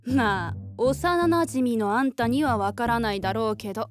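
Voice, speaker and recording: A woman's voice: fairly high pitch, normal speed, faint music behind, lightly acted. An actress in a radio drama playing a blunt, jealous young woman with a sarcastic, needling manner. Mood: sarcastic, envious. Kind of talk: Japanese radio drama acting.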